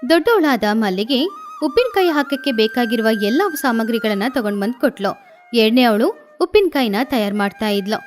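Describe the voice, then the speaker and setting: A woman reading scripted children's moral stories as the narrator. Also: fairly high pitch. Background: music.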